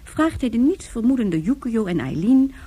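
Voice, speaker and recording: A woman's voice: a little high, very animated, moderate pace, warm tone, breathy edge. A woman reading scripted narration for a science-fiction radio drama. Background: faint music.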